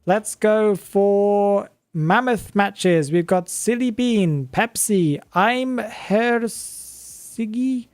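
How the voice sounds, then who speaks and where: A streamer from the UK with a British accent, chatting casually on a live stream, reading viewer comments aloud, chuckling often and thinking out loud. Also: medium pitch, extremely slow, hardly any pauses, no room sound. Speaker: game streamer.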